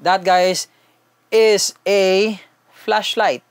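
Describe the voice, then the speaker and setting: A man's voice: high-pitched, fairly even intonation, extremely slow, clean tone, dry recording. A man with a Filipino accent doing a casual, conversational unboxing and product review, mixing two languages as he talks informally to viewers.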